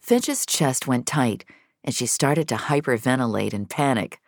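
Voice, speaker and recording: A woman's voice: a bass voice, expressive, a little slow, no room sound, studio quality. A woman reading scripted fiction as a dramatic narrator. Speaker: narrator and reader of an audio fiction episode.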